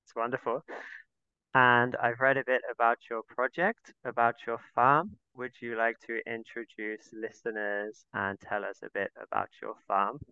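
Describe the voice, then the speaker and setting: A man's voice: medium pitch, steady tone, moderate pace, pushed and bright, smooth, dry recording, dull audio. A man hosting a podcast, introducing guests and asking them questions. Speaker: podcast host.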